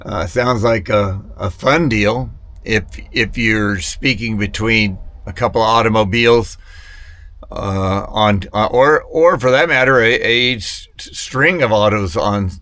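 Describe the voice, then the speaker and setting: A man's voice: on the low side, a little slow, sharp tone, dry recording. A man chatting casually and hesitantly to viewers as a hobbyist vlogger, often wandering off mid-thought. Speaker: vintage radio hobbyist vlogger. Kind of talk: rambling casual product chat to camera.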